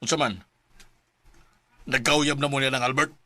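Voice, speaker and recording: A man's voice: medium pitch, steady tone, moderate pace, pushed and bright, natural voice. A man with a Filipino Cebuano accent playing a stern, demanding middle-aged father in a radio drama.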